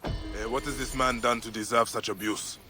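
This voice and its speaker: A man voices a heroic protector for a video game, steadfast and compassionate. The voice sits in a middle register with a bright, forceful edge, and soft music runs underneath. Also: mid-range voice, steady tone, normal speed, natural voice. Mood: concerned. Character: steadfast, compassionate male protector, hero of ancient times. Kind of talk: dramatic game acting.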